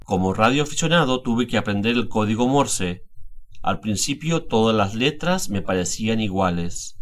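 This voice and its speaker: A middle-aged man from Chile with a Chilean Spanish accent, calmly reading a scripted language lesson. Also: fairly low pitch, normal speed. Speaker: language teacher, guest speaker. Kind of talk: scripted instructional narration.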